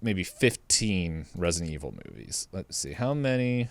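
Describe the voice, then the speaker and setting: A man's voice: sits low, sing-song, moderate pace, gravelly, no room sound. A man co-hosting a podcast in casual chat, hesitant and rambling as he thinks aloud. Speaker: podcast co-host.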